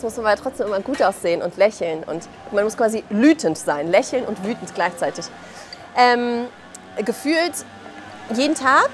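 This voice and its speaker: Emphatic, colloquial woman in interview. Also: fairly high pitch. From Belarus. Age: 30s. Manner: emphatic, colloquial, acts out quoted remarks. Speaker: interview guest, author and journalist. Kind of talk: impassioned spoken interview answers.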